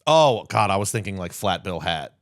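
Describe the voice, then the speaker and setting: Low-voiced man joking around, swearing freely. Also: sing-song, normal speed, no room sound, clean studio sound. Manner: slangy, heavily swearing. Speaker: podcast co-host. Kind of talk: casual comedic banter.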